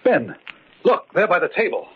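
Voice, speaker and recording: A man's voice: high-pitched, wildly swooping pitch, normal speed. A man acting an earnest, determined murder suspect turned amateur sleuth in a vintage radio mystery, with a clipped, questioning manner. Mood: grave, deductive. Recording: muffled, noisy old radio recording.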